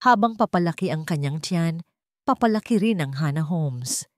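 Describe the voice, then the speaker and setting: A woman narrator reading a scripted romantic drama story in measured, short phrases. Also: sits low, expressive, dry recording, studio quality.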